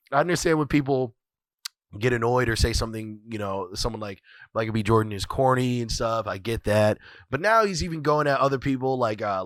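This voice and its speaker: A man's voice: mid-range voice, fairly bright tone, no room sound. A man hosting his own podcast, talking casually with lots of swearing and repetition, his voice congested and scratchy from a cold. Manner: rambling, repeats phrases, swears freely. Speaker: podcast host. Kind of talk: casual profane solo commentary.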